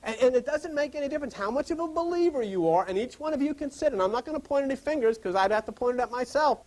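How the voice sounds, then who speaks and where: Man preaching informally, animated high-pitched voice. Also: fast talker. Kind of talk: informal sermon to a seated group.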